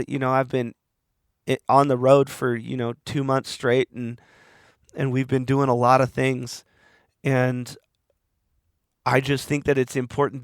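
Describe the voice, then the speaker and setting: Hoarse man with a gravelly voice. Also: medium pitch, dry recording, clean studio sound. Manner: rambling, earnest, with restarts. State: losing his voice. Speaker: podcast host. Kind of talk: reflective solo monologue.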